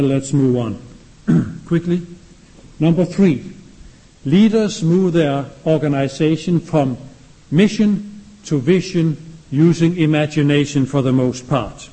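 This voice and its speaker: A man with a Danish accent, speaking English as his second language, delivers a lecture at a steady classroom pace. His voice is warm and mellow and sits a little high for a man. He poses question after question to the room. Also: low-quality recording. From Denmark.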